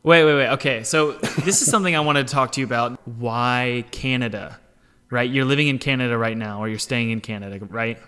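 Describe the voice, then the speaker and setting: A man hosting a podcast interview, reacting with quick, excited interjections. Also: medium pitch, clean tone, in a large hall. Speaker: podcast host. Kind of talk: casual interview chat.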